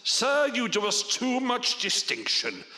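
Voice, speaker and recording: Pompous old gentleman, theatrically swooping. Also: extremely high pitch, wildly swooping pitch, heavily acted. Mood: flustered, evasive. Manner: pompous, grandiose, halting when flustered. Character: proud, pompous, shabby-genteel old prisoner father. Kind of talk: period audio drama acting. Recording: echoey hall reverb, music behind.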